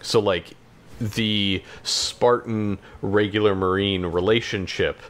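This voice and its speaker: A man with a fairly low voice talks casually as a podcast co-host. He hedges his points and often doubles back on what he has said. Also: fairly even intonation, slow, a little hiss or hum. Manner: hesitant, hedging, backtracking. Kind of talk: casual chat about games and films.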